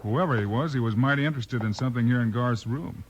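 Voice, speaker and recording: A man's voice: medium pitch, fast, lo-fi sound, close to an everyday voice. An actor playing a brave, decisive frontier lawman with a commanding manner in a 1950s radio western. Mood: focused. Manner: firm, commanding. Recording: noisy old 1950s radio recording.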